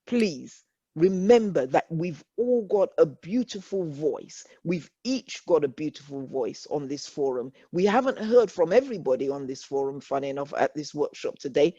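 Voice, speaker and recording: A woman's voice: deep voice, lively intonation, moderate pace, dark tone, slightly rough, dry recording. A woman with an English accent leading a workshop, earnest and reassuring, often repeating her points. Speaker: workshop facilitator. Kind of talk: encouraging workshop talk. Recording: dull lo-fi online call audio.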